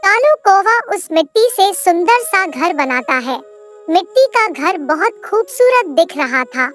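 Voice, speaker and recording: A woman's voice: very high-pitched, very animated, a little slow. A woman telling a scripted moral story for children as narrator. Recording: soft music behind the voice.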